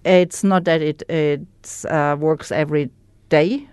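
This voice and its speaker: A woman with a German accent, a native German speaker, candidly sharing her recovery story as a guest in an interview, pausing to search for words. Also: low voice, fairly even intonation, a little mellow, clear, resonant tone, no echo. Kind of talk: personal testimony in an interview.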